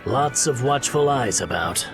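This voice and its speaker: A man's voice: mid-range voice, lively intonation, measured pace, close to an everyday voice. An actor playing a shrewd male merchant in a game, with a sly, confiding manner. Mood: confiding, wary. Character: shrewd male harbour merchant, pirates' contact. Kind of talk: animated game voice acting. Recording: music behind the voice.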